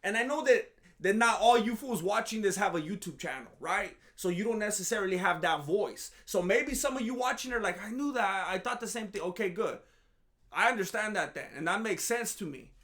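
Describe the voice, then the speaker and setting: A high-pitched man rambles through a casual commentary monologue, full of sarcasm and self-mocking jokes. He restarts his sentences often and sounds a little groggy, as if just up from a nap. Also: lively intonation, brisk, fairly bright tone. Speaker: MMA commentary vlogger.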